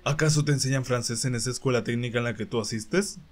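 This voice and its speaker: An actor playing a stern, haughty older schoolmaster with a pompous, interrogating manner and a Latin American Spanish accent, in a dubbed teen drama. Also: male voice, mid-range voice, fast, natural voice. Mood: indignant. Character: stern older male French department head, indignant and haughty.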